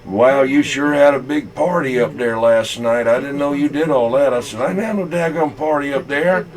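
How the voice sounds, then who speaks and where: A man with a Southern US accent telling folksy, halting, rambling stories in casual talk over CB radio. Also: medium pitch, poor audio. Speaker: CB radio operator.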